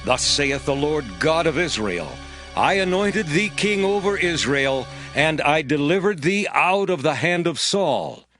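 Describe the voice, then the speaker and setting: A solemn prophet, sweeping intonation. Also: male voice, on the high side, lively intonation, measured pace, fairly bright tone, faint music behind. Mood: thundering rebuke. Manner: solemn, declamatory. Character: stern Hebrew prophet, grave storyteller rebuking a king. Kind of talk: dramatized Bible audiobook acting.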